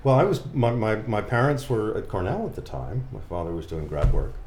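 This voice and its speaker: Low-voiced guy, middle-aged, rambling story with lots of restarts, echoey room, faint hiss. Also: animated, a little mellow. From Boston area, United States. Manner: halting, anecdotal storytelling with many restarts. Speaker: guest, magician. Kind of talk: casual podcast conversation.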